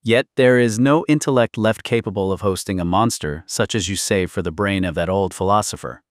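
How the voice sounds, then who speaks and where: A grave older brotherly guardian acted by a male reader from a historical audiobook. He is world-weary yet decisive, with formal phrasing. His lowish voice is very dry in the recording. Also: a little fast, dry recording, natural voice. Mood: grave, resigned. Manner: solemn, formal.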